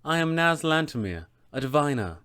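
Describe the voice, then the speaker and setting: A man voicing a composed woman diviner in a tabletop fantasy game, speaking softly and formally. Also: on the high side, very animated, normal speed, clean tone, no echo, clean studio sound. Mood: calm, mysterious.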